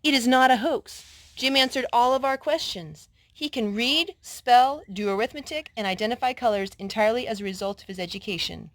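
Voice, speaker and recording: A woman's voice: on the high side, brisk, dry recording, voice pushed up, close to an everyday voice. Woman reading as formal professors announcing a verdict. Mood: formal, emphatic.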